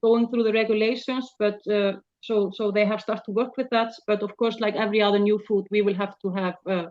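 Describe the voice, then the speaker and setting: A woman startup founder answering questions about her product in non-native English, halting, with many fillers. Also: mid-range voice, fairly even intonation. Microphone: video call. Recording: dull lo-fi video call audio.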